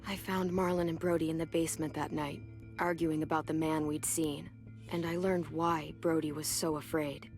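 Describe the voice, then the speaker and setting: A low, sober voice for a protective teenage girl who has survived hard times. The delivery is measured, naturalistic game drama, with music playing underneath. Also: flat delivery. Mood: grim recounting.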